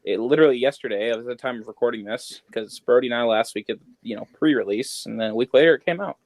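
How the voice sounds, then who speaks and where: A man's voice: medium pitch, fast, dry recording. A man hosting a podcast in casual chat, with an offhand manner and dry understatement. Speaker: podcast host. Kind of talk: casual chat about games and news.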